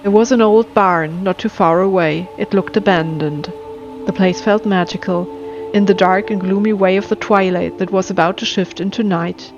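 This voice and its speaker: Female storyteller, mid-pitched voice, measured atmospheric narration of scripted dark fiction, music underneath. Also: fairly even intonation, muffled recording. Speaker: storyteller and channel host.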